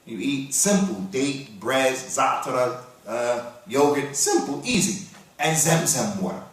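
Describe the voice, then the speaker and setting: A man from the United States giving a sermon, reciting Arabic verses quickly and then explaining them in English. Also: medium pitch, big pitch swings, fairly bright tone. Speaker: Islamic preacher. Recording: very echoey hall, voice from far off.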